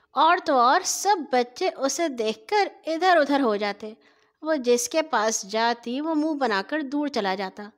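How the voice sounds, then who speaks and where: A woman storyteller narrating scripted stories for children in a deliberate, phrase-by-phrase way. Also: high voice.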